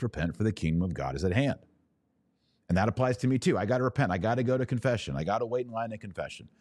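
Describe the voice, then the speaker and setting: A man's voice: sits low, mellow, no room sound, clean studio sound. An American man speaking as a podcast host in conversational religious commentary, emphatic, often in short repeated phrases.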